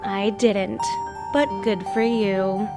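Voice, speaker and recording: A woman's voice: medium pitch, flat delivery, slow pace, over music. A woman voicing a guarded, sardonic young woman in a visual novel, with dry, clipped, sarcastic delivery. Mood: blunt, dismissive. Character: guarded, sardonic young woman, aloof cousin of a decaying estate. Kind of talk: fan-dubbed visual novel dialogue.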